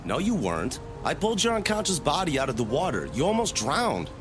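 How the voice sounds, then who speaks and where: Man as a lively, skeptical friend. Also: a little high, brisk, over music. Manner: questioning, incredulous. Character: skeptical, worried male friend questioning a wild story. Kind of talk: scripted audio drama.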